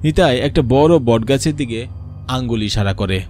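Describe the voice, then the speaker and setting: A man's voice: mid-range voice, expressive, normal speed, darkish tone, slight background noise. A man narrating a scripted horror cartoon story in a dramatic storytelling style.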